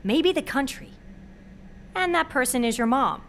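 A woman's voice: sits high, expressive, a little slow, a bit bright, a little hiss or hum, exaggerated voice. An actress playing a sheltered, anxious young female college student who speaks candidly in a naturalistic audio drama. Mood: uneasy, wry. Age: young adult.

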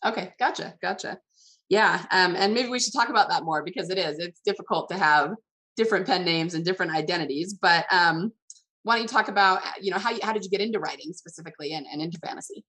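A woman's voice: on the low side, fast talker, bright, forceful tone, slightly rough, low-quality recording. A woman hosting a writing podcast, speaking as a host and coach to her audience and guests. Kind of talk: scripted introduction, then casual interview.

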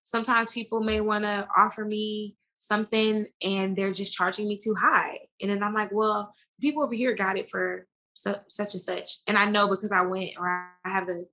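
Bright-voiced American woman chatting casually. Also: medium pitch, steady tone, moderate pace, hardly any pauses. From United States. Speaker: interview guest. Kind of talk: casual conversational interview.

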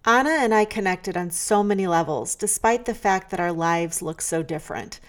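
A woman's voice: on the low side, expressive, moderate pace. A woman who hosts a podcast and works as a coach, reading a prepared introduction and then asking her guest questions. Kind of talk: interview podcast.

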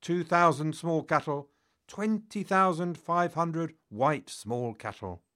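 A man plays an ancient royal scribe in a dramatic reading. He declaims slowly in a fairly high voice with a bright, forceful edge. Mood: proclaiming. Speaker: dramatic reader.

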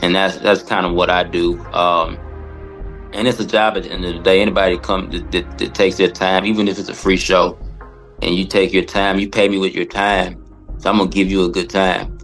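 Very deep, creaky-voiced man, casual podcast guest. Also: level tone, a bit bright, faint music behind. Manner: halting, often repeats words. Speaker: podcast guest, stand-up comedian. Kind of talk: casual, reflective interview answer.

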